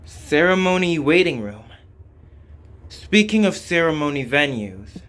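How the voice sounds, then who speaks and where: Male reader, scripted game narration, translated story dialogue, somewhat high-pitched. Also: sing-song, unhurried, a bit bright. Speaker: reader voicing a game playthrough.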